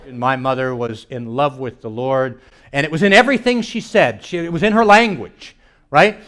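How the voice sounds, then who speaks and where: A man doing stand-up comedy storytelling to a live audience, animated and acting out reactions and dialogue as he goes. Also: mid-range voice, normal speed. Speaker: comedian and keynote speaker. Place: church hall in front of a live audience. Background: audience laughter.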